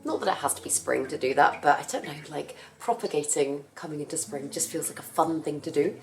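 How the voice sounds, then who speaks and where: A young woman with a husky voice from a cough, chatting casually about her plants as she works. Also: deep voice, animated, a little fast, soft background music. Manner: chatty, rambling, self-correcting. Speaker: plant care vlogger. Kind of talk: casual chat while working.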